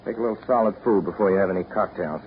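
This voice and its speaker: A man's voice: deep voice, rapid-fire, close to an everyday voice. An actor playing a wry, skeptical man in a 1930s radio drama, with a dry, sardonic, clipped delivery. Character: wry, skeptical man, bluntly needling a woman companion. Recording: noisy, muffled 1930s radio broadcast.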